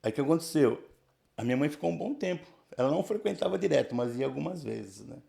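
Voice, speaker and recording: Older São man, 60s, gravelly and dark-toned, halting testimony with constant tag questions. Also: on the high side, animated. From Brazil, São Paulo region. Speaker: church member giving testimony. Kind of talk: casual life-story telling.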